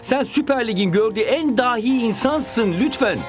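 A man voicing an earnest young footballer hero in a cartoon, urgent and expressive. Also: very high-pitched, moderate pace. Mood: pleading. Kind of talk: animated cartoon dubbing. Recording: music behind the voice.

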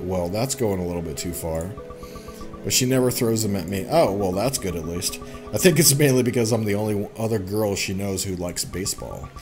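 A man in his thirties doing let's play commentary, reading game text aloud and chattily reacting as he goes. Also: fairly low pitch. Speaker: gaming let's play host. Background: game music.

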